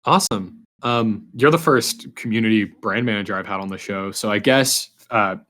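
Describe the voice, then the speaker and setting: A man hosting a casual interview podcast, speaking informally and haltingly, often repeating his words. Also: lowish voice, a bit bright. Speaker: podcast host.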